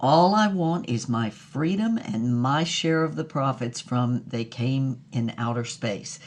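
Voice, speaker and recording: Husky, wry actress as a brassy storytelling woman. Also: extremely low pitch, animated, slow pace, darkish tone, full character voice. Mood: wry, exasperated. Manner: wry, theatrical storytelling with chuckles. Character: brassy, wry former actress telling scandalous memoirs. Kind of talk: scripted audio drama narration.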